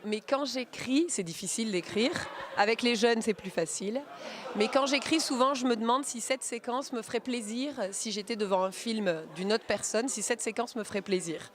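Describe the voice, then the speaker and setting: A woman from Marseille in her thirties, a film director speaking in a festival interview, thoughtful and pausing often with fillers and restarts. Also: a little high. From Marseille, France. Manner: thoughtful, many hesitations and restarts.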